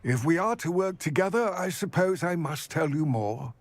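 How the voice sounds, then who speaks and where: A man's voice: a little high, lively intonation, normal speed. An actor voicing a proud, wary male goblin in a video game, speaking in a formal, grave, old-fashioned way. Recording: dry studio game dialogue.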